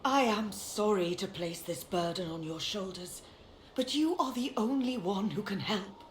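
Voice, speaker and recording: An actress playing an urgent, pleading senior priestess in a video game, with a formal, insistent manner. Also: female voice, medium pitch, measured pace, slight background noise, lightly acted. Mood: pleading, solemn. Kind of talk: dramatic video game dialogue. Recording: roomy echo with background ambience.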